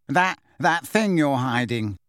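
A man's voice: mid-range voice, animated, takes it slowly, a bit bright, clean tone, dry recording, studio quality. A man voicing a big teenage boy bully, snarling, sneering and defensive, in an audiobook story. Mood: challenging.

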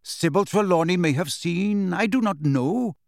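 A man voicing a calm, stately male centaur teacher who speaks in a measured, aloof way. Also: sits high, vocal fry, no room sound, clean studio sound, pitched above the performer's own voice, exaggerated voice. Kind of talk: audiobook character voice.

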